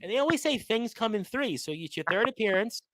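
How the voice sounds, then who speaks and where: A man's voice: high-pitched, animated, a little fast, a bit bright, dry recording. A man hosting a wrestling talk show and interviewing a guest, rambling and effusive, often repeating himself. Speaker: radio show host.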